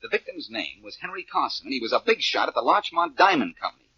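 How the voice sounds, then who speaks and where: Forties radio detective hero: confident, breezy, persuasive, bright rough-edged voice, dry lo-fi recording. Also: male voice, medium pitch, huge pitch swings, quick pace, full character voice. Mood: eager. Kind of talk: 1940s radio detective drama acting. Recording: dull, lo-fi old radio recording.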